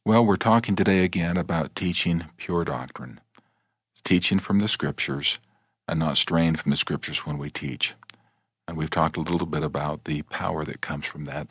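A man's voice: extremely low pitch, fairly even intonation, moderate pace, a bit bright, breathy edge, no room sound. A man hosting a panel discussion, asking brief courteous questions and handing over to guests. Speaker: host.

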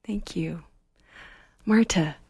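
A woman hosting an interview, soft-spoken and appreciative toward her guests. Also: on the low side, takes it slowly, creaky, breathy edge, no room sound. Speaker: host.

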